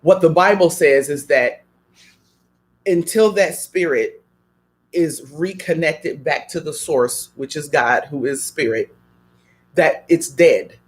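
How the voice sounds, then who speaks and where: A man talking on a radio show with a voice pitched a little high. He speaks like a preacher, building his points through strings of repeated questions. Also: takes it slowly. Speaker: guest. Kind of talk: radio talk show conversation.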